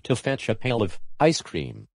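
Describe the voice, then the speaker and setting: An earnest young cartoon dinosaur, a big brother played by a man. His pitch moves in big lively swings, and the sound is dry and close. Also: measured pace, gravelly, dry recording, heavily acted. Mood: earnest, playful. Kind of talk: children's cartoon dialogue.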